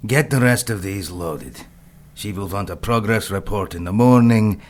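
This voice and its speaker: An actor playing a menacing, inhuman crime boss in a 1940s pulp audio drama, coolly threatening and deliberate. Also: male voice, fairly low pitch, lightly acted. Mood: brisk command.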